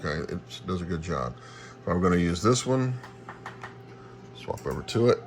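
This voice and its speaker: Deep-voiced man over radio hiss. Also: sing-song. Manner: halting, thinking aloud while operating. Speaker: radio hobbyist demonstrating equipment. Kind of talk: casual hands-on demonstration. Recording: clear radio static and hiss behind. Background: shortwave radio noise.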